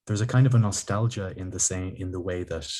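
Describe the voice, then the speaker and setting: A man who grew up in Ireland, with a very deep voice, hosts a reflective interview on a video call. He talks slowly and thoughtfully, with many ums and restarts. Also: a little mellow. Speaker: podcast host. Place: living room at home.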